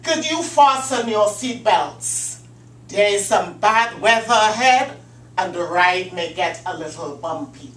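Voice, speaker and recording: A woman's voice: mid-range voice, expressive, unhurried, fairly bright tone. A woman voicing a male airline pilot, giving formal cabin announcements in a prepared speech. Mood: urgent. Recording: roomy, distant mic, faint hum.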